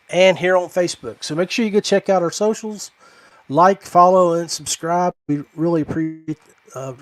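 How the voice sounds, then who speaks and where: An American man hosts a casual live podcast. His voice sits a little higher than most men's. The sound is dry and close, with almost no room in it. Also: dry recording. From United States, West Virginia. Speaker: podcast host.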